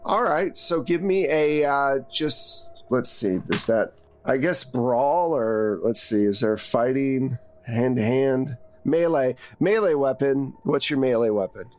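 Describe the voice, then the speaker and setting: A man's voice: medium pitch, slow pace. A man improvising narration as game master of a tabletop game, casual and conversational with vivid descriptions.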